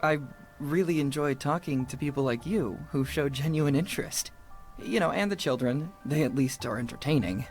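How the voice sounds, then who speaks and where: An actor playing an earnest young puppeteer with an American accent, halting and sincere as he speaks. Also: on the high side, soft background music, close to an everyday voice. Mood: friendly, bashful. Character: earnest young puppeteer, passionate about Czech heritage.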